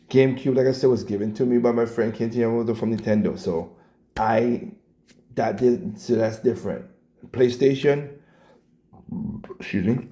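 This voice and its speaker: A man with a scratchy, hoarse voice and a dark, mellow tone rants casually, blunt and profane. He trips over his words and repeats himself as he goes. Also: lowish voice. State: hoarse, coughing. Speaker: commentator reacting to clips. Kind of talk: casual rant.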